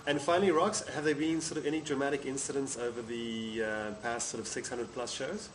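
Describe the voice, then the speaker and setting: A man's voice: mid-range voice, steady tone, fairly quick, a crowd in the background. A man interviewing someone casually, putting questions in an informal, conversational way.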